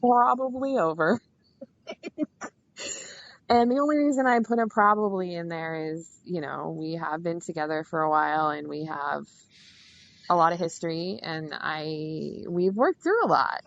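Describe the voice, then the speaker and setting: A woman's voice: fairly low pitch, unhurried, creaky, muffled recording. A woman in her thirties chatting as a guest, self-mocking and chatty, often laughing through her words. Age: 30s. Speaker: caller, guest. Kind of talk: casual chat.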